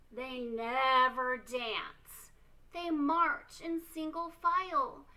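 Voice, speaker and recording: A woman reading a children's storybook aloud expressively, with theatrical, deliberate emphasis. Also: high-pitched, bright, forceful tone. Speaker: storytime reader.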